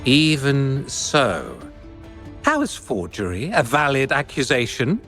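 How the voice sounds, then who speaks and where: A smug art dealer drawls his slow, condescending replies in a video game. His voice rises and falls a great deal, and it sits a little high for a man. Soft music plays behind him. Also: wildly swooping pitch, heavily acted. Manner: condescending, dismissive, measured.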